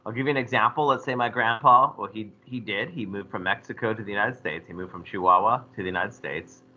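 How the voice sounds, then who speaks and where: A man teaching a history class, lecturing in a lively way and putting questions to his students. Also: fairly low pitch, quick pace, highs cut off. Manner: animated, asks the class questions.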